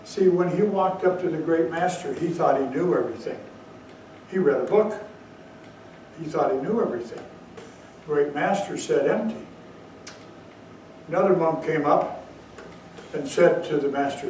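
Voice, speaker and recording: Zen teacher guy telling a story, kinda high voice, big echoey hall, mic far off. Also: moderate pace, long pauses, a little mellow, a little hiss or hum. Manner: storytelling, bursts of emphasis. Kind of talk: dharma talk.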